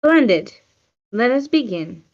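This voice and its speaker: A woman's voice: fairly high pitch, big pitch swings, unhurried. A woman playing a commanding, unsentimental witch mentor in a screenplay table read. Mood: solemn. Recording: muffled online meeting audio.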